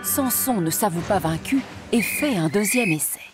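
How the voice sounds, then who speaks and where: A woman narrating a children's cartoon in a storybook manner. She speaks at a middle pitch with a lively, expressive melody. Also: moderate pace, over music.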